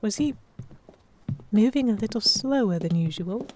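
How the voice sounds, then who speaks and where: A woman's voice: mid-range voice, lively intonation, normal speed, warm tone, a little hiss or hum, natural voice. A woman playing an earnest, emotive noblewoman heroine who narrates an audio drama in the first person. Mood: observant, uneasy. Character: delicate young noblewoman, vexed, earnest, stubborn romantic heroine.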